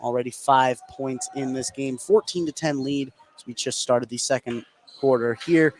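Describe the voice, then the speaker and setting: A man doing live play-by-play basketball commentary for radio, getting excitable on big plays. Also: medium pitch, normal speed. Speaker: play-by-play sports announcer. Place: indoor school gym. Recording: clearly noisy live gym broadcast. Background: gym ambience and noise.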